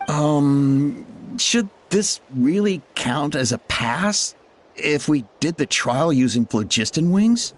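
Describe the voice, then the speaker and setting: An earnest young trainee. The voice is animated in pitch, hesitant and questioning, a doubtful video game character. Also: slow pace.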